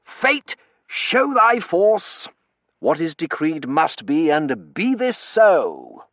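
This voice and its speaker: A man voicing a cheerful, chattering young gentleman who talks in an eager, breathless patter and quotes verse theatrically. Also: a little high, wildly swooping pitch, drawn-out words, no echo, studio quality, voice pushed up, exaggerated voice. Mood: grandly theatrical. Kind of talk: audiobook character voice.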